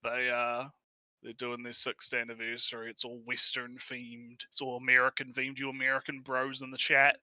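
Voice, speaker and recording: Man, casual stream co-host, very bright sharp voice, dry close sound, repetitive rambling chat. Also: mid-range voice, monotone, normal speed, no room sound. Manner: repeats phrases, rambling. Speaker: livestream co-host. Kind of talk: casual livestream banter.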